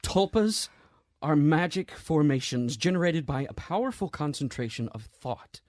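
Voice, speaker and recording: An actor playing a grieving, guilt-ridden man in an audio drama, speaking in a halting, hushed, earnest way with a husky voice. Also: fairly high pitch, expressive, dry recording, studio quality, close to an everyday voice. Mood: uneasy, careful.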